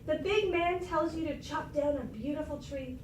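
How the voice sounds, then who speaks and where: A woman voicing a grave anteater who reproaches a woodcutter in measured, admonishing words, in a storybook read-aloud. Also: sits high, close to an everyday voice. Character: wise unstriped anteater parent, gravely reproachful. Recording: roomy, distant classroom recording with noise.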